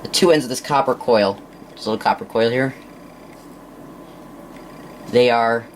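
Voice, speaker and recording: A man giving an unscripted hands-on demonstration in a halting, casual mutter as he works, on an amateur recording. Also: medium pitch, a little hiss or hum. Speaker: presenter of a hands-on science demonstration.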